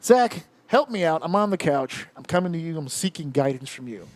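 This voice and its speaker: A man in his thirties hosts a loose round-table podcast, his voice sitting high for a man. He rants with heavy swearing and punchy emphasis. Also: expressive, fairly quick, dry recording. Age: 30s. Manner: profane, emphatic and rant-like. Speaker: podcast host. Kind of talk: casual round-table chat.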